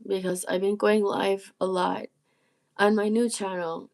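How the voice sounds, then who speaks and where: A woman with a gravelly, husky, croaky voice, strained after illness, chatting casually on a live stream and often clearing her throat. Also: medium pitch, little pitch movement, measured pace, darkish tone. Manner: rambling, self-interrupting, frequent throat clearing. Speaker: musician and channel host.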